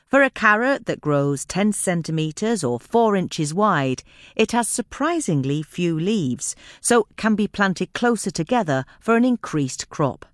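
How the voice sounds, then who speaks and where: A woman reading a scripted magazine-style article for a podcast in a measured, carefully enunciated way. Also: lowish voice, animated, no echo, studio quality. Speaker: podcast episode reader.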